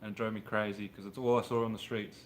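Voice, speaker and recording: A man's voice: fairly low pitch, flat delivery, fast talker, a little hiss or hum. A man in his thirties with an Australian accent, chatting casually and rambling on a livestream from his car workshop. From Brisbane, Australia. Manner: laid-back, rambling, slangy. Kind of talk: casual livestream chat answering viewers. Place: home mechanic workshop, car on a hoist.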